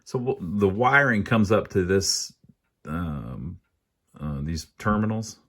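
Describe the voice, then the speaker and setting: A man's voice: low-pitched, expressive, takes it slowly, a bit bright. An American man giving a casual hands-on repair walkthrough, thinking aloud in a folksy, plain-spoken way. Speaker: amateur radio repairer, video host.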